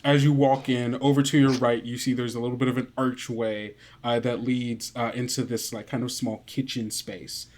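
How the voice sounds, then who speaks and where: Male game master, mid-pitched, improvised scene descriptions with halting, thinking-aloud phrasing. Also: little pitch movement, a little mellow. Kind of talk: improvised tabletop game narration.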